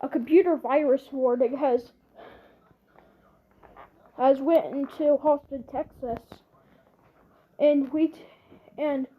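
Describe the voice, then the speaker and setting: A woman delivering an improvised mock emergency alert announcement in a halting way, often repeating herself and restarting. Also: sits high, steady tone, phone-quality sound, a little hiss or hum.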